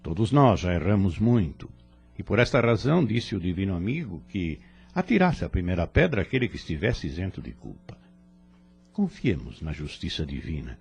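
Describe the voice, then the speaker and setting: A man's voice: on the low side, creaky, a little mellow, breathy edge, dull audio, full character voice. A Brazilian man playing a serene, kindly spirit mentor who preaches in solemn, formal phrases in a religious audio drama. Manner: solemn, measured, formal exhortation.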